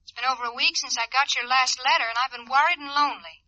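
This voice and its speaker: A wounded, jealous wife in a vintage radio drama. Her voice is high and extremely bright and sharp, every phrase pointed with sarcasm. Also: rapid-fire, lo-fi sound. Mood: hurt, sarcastic. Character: hurt, jealous young wife on the telephone. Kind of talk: 1950s radio drama acting.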